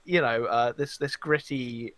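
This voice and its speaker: A man in a casual podcast chat, talking in a jokey, slightly halting way, close on the microphone. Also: medium pitch, fairly bright tone, no room sound. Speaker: podcast co-host. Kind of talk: casual chat about films and games.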